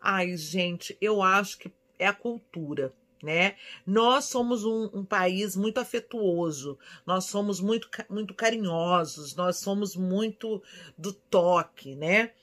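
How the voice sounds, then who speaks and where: A woman in her forties from São Paulo with a Brazilian accent, chatting casually and affectionately as she answers viewers' questions in an expressive, conversational way. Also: mid-range voice, takes it slowly. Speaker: vlogger. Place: small indoor room at home.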